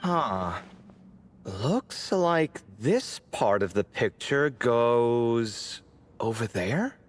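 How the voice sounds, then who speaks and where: A man's voice: mid-range voice, animated, very slow, a little hiss or hum. An actor playing an exasperated young man on a desert expedition in a video game, griping expressively. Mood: eager.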